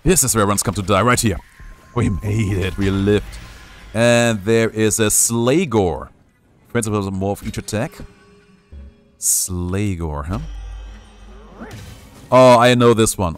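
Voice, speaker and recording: A man's voice: lowish voice, takes it slowly, long pauses, faint music behind. A young man streaming a game, giving playful, jokey live commentary and reading chat aloud. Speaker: gaming streamer.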